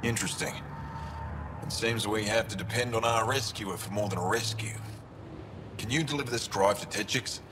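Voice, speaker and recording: A man's voice: lowish voice, normal speed, lightly acted. An actor playing a grateful male resistance leader who talks in a composed, businesslike way. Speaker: game character. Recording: game audio with clear background noise.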